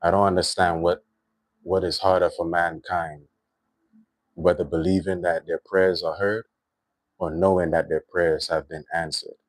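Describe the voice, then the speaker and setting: A man with a Trinidadian accent hosting a livestream, talking in a reflective, unhurried way with lots of "you know" and "right". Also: sits low, level tone, dry recording. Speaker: livestream host. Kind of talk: spiritual livestream monologue.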